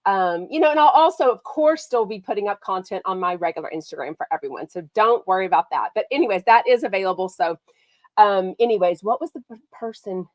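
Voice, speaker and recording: A woman from Texas, hosting a casual gossip livestream. She has a slight cold that makes her sound nasal, and she talks in a bubbly, excitable way. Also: medium pitch, fairly quick, no room sound. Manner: bubbly and excitable, lots of "like" and "oh my gosh". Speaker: podcast host.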